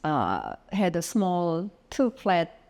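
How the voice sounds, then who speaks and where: A middle-aged woman from South Korea, Korean her first language, speaks as an interview guest. Her voice sits low for a woman and her delivery is very slow. She stops often and fills gaps with soft hesitations. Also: huge pitch swings. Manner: slow, hesitant, many pauses and fillers. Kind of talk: casual interview. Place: home studio.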